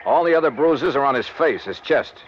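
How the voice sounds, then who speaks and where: Man playing tough skeptical 50s radio detective, clipped and wry, lively pitch, old muffled sound. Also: brisk, slight background noise, natural voice. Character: hard-boiled, skeptical insurance investigator and narrator. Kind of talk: 1950s hardboiled radio drama acting. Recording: muffled vintage radio recording.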